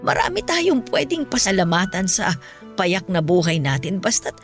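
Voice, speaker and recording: Ailing mother for Filipino radio drama, played by a woman: low, expressive voice, patient and principled, over music. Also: moderate pace. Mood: patient, counseling. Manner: earnest, patient, moralizing. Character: gentle, principled ailing mother counseling her grown child. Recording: music bed under the voice.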